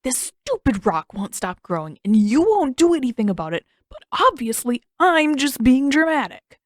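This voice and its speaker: A woman's voice: sits high, big pitch swings, moderate pace, dry recording, clean studio sound, voice pushed up. A woman voicing a sarcastic, dramatic teenage boy who complains a lot in a fan fiction audiobook reading. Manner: sarcastic, whiny.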